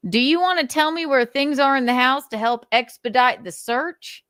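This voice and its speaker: A woman playing a calm, persistent male police officer, questioning in a matter-of-fact, probing way for a dramatic reading. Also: high voice, moderate pace, no room sound, studio quality, pitched above the performer's own voice.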